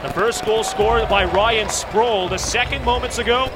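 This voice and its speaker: A man's voice: high voice, a little slow. An American man calling live radio play-by-play from a sports arena in a clipped, running present-tense style. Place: sports arena broadcast position. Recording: loud crowd and arena noise behind. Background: roaring crowd, arena music.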